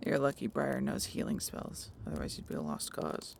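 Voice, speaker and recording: A woman playing a blunt, distrustful harpy in an audio roleplay, with a low gravelly voice and a curt, sarcastic manner. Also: big pitch swings, moderate pace, a little hiss or hum, full character voice. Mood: wary, stern.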